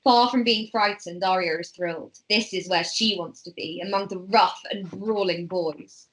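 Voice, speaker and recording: A woman's voice: fairly low pitch, moderate pace, poor audio. A woman reading stage directions as narrator in an amateur script table read.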